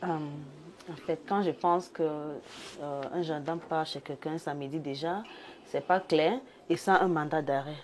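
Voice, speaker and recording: A woman's voice: sits low, steady tone, measured pace. A woman comments informally as a panelist, plain-spoken and sympathetic. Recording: faint background noise.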